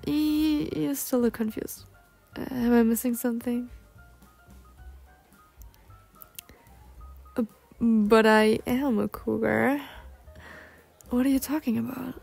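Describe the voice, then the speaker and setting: A woman's voice: on the high side, steady tone, slow, creaky, long pauses, darkish tone, soft background music, heavily acted. A young woman voicing a polite, bookish, insecure cougar-girl on a date in an ASMR roleplay, speaking formally with flustered hesitations. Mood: puzzled.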